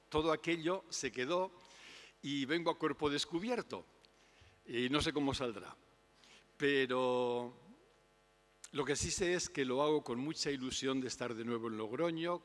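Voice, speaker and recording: An older man giving an unhurried, reflective public talk on spirituality. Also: medium pitch. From Spain. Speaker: invited speaker. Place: lecture hall. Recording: long hall reverb.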